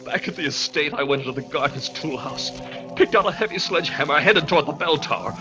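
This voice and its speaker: A man's voice: a little high, fast, lo-fi sound, lightly acted. An actor playing a tormented, obsessive madman in an overwrought, repetitive 1940s radio thriller style. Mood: haunted, grim. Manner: melodramatic, overwrought, repeating phrases. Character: tormented madman hounded by bells, frantic and obsessive. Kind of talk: 1940s radio thriller acting, first-person narration. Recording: vintage radio broadcast with loud music.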